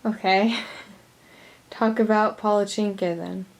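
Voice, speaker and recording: A woman's voice: mid-range voice, fairly even intonation, slow pace, vocal fry. Playful young woman asking questions. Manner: playful, laughing prompts. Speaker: filmmaker interviewing family. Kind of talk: casual interview. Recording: noisy room.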